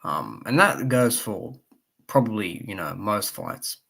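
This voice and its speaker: A man with a croaky voice, chatting casually on a live stream about boxing, with plenty of fillers and asides to his chat. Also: fairly low pitch, big pitch swings, unhurried, bright, forceful tone. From Australia. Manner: rambling, many fillers, reads chat aloud.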